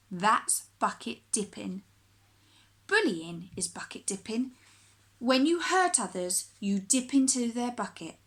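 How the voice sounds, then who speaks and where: A woman reading a children's picture book aloud like a primary teacher, with expressive, deliberate phrasing for young listeners. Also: on the high side.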